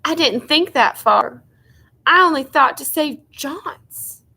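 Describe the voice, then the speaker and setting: A woman's voice: sits high, expressive, slow, gravelly, sharp tone, pitched above the performer's own voice, exaggerated voice. Woman reading as a lovelorn young country woman, tearful, remorseful, pleading, slightly raised voice, storybook sister.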